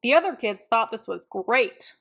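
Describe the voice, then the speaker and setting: A woman narrating a children's storybook aloud in an expressive, deliberate manner. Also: fairly high pitch, gravelly.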